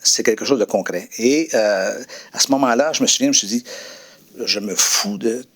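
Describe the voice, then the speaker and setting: A French-speaking man sharing a personal faith testimony as a guest pastor, pausing often with fillers and restarts, then leading a prayer. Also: lowish voice, husky. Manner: hesitant, many fillers and restarts.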